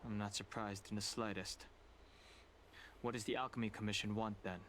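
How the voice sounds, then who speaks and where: A man's voice: lowish voice, steady tone, normal speed, fairly bright tone, a little hiss or hum, natural voice. A man playing a reserved, composed traveler in a video game, with a dry, formal delivery. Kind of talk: video game dialogue acting.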